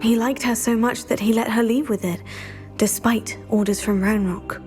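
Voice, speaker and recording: A woman's voice: on the high side, steady tone, moderate pace, darkish tone, faint music behind, lightly acted. An actress playing an earnest, curious young student witch, in a video game cutscene.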